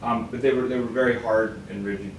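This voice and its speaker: A man's voice: medium pitch, fairly even intonation, fast. A man asking curious, tentative questions in an academic conference discussion. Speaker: audience questioner. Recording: distant, echoey, noisy room recording.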